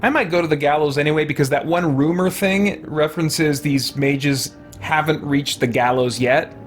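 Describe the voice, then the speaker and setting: A man's voice: on the high side, normal speed, soft background music. Man thinking aloud over a video game. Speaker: let's play host. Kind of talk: casual gameplay commentary, thinking aloud.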